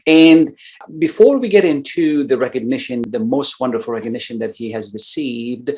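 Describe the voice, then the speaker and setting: A male radio host with a mid-range voice who talks in long, rambling runs. He fills pauses with "uh" and repeats his words as he goes. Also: mid-range voice, dark tone. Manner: wordy, repeats phrases, many fillers. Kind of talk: radio interview.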